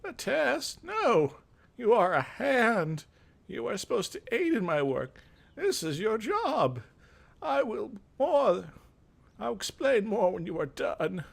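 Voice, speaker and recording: A man voicing a frail elderly wizard craftsman, fussy and apologetic, with halting delivery in a fantasy game reading. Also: sits high, very animated, gravelly, pitched above the performer's own voice, exaggerated voice.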